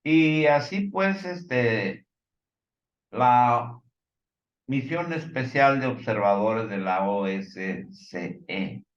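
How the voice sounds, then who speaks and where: A man with a Mexican accent giving informal news commentary, partly reading from reports, in a halting, hesitant way with fillers and stumbles. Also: medium pitch, expressive, reverberant, dull audio.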